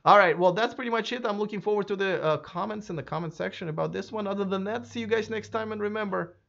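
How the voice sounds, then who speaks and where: A hoarse man hosting his own channel, chatting casually and speculating aloud, with many fillers and restarts. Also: sits high, fast talker, a bit bright, muffled recording. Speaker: YouTube electric car channel host. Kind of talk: casual solo commentary to camera.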